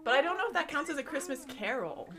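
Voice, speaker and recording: A woman's voice: sits high, expressive, fast talker, over a noisy crowd. A young woman guesting on a casual podcast, bubbly and a bit sheepish, laughing often. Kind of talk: casual chat.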